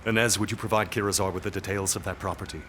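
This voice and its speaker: A man's voice: low-pitched, fairly even intonation, very fast, background noise, lightly acted. A man playing a proud, cold, unyielding lord in a period audio drama. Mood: cool, suspicious.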